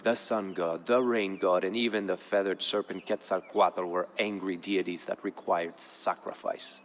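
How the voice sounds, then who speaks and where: A man voicing a grave Aztec jaguar warrior of royal blood, recounting events in measured, solemn game narration. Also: low-pitched, steady tone, slight background noise. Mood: grave, foreboding. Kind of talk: scripted dramatic narration for a game. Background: music.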